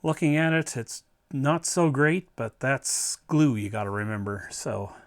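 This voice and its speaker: A man's voice: medium pitch, expressive, unhurried. Man casually narrating a repair, thinking aloud. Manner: thinking aloud, talking to the viewer. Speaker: host of an electronics repair video.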